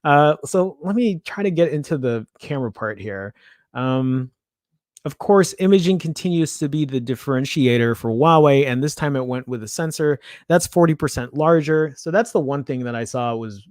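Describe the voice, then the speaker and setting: A man's voice: a little high, normal speed, darkish tone, dry recording, studio quality. A man with a Chicago accent hosting a casual tech news show, reading an article aloud and breaking off into chatty asides and little stumbles.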